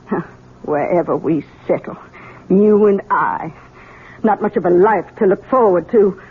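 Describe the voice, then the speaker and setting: An embittered old mother with a husky, worn voice, sardonic and deliberate in her delivery. It is 1970s radio drama, heard through a muffled, narrow-band recording. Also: fairly low pitch. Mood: resigned. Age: older. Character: bitter old mother once declared dead.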